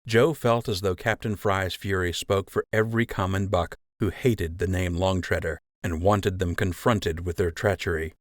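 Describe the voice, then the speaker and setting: A man with a fairly low voice narrates a children's adventure novel. He reads scripted storytelling prose in a close, dry recording. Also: steady tone, normal speed, hardly any pauses, no echo. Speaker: audiobook narrator.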